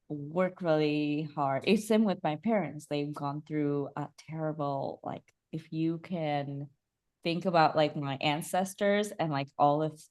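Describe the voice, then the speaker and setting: A young woman from Bangladesh, a holistic coach, talking about her life in a soft, reflective way with many fillers and restarts. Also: low voice. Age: young adult. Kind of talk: casual interview chat.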